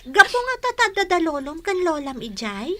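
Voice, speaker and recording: A woman's voice: extremely high pitch, big pitch swings, normal speed, a bit bright, full character voice. A woman playing an inquisitive, forthright young girl in a radio drama, with an Ilocano Filipino accent.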